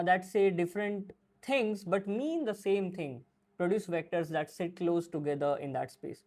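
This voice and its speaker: Explanatory tech tutorial voice, mid-pitched. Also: darkish tone. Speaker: tutorial presenter. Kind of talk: software tutorial walkthrough, part scripted, part improvised.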